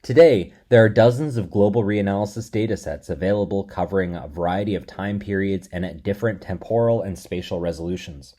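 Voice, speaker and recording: A man delivering a scripted lecture in a measured, steady explanatory way. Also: low-pitched.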